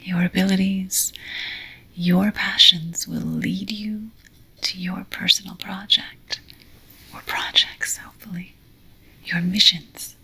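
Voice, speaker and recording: Slow, breathy whispering ASMR woman. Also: fairly low pitch, very animated, sharp tone. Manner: solemn, deliberate, ceremonial. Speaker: ASMR roleplay host. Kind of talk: whispered ASMR affirmations, scripted ceremonial address.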